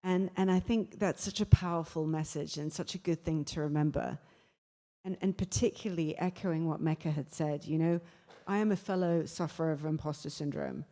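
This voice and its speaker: A woman's voice: deep voice, moderate pace, dark tone, long reverb tail. A woman giving earnest panel remarks, often repeating words as she starts her phrases.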